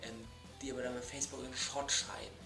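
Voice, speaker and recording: A man's voice: mid-range voice, level tone, fast, gravelly. A young adult man delivering an emphatic, exasperated comedic vlog rant in a bedroom, repeating himself for effect. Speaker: video blogger. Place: bedroom, indoors. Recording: echoey room, music behind.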